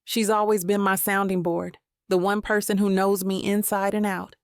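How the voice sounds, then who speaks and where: A woman's voice: medium pitch, little pitch movement, moderate pace, smooth, no echo, studio quality. A woman reading scripted first-person romance fiction as an audiobook narrator.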